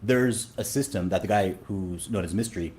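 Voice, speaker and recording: A man's voice: sits low, moderate pace, darkish tone, slight background noise. A man from Sudan, a dating coach, talking casually in an interview with many fillers and restarts.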